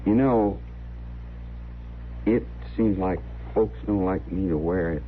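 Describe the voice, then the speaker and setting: An actor playing a simple, wounded, brooding young man with halting, folksy speech in a western radio drama. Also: sits low. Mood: hurt, brooding. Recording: muffled, hissy old radio recording.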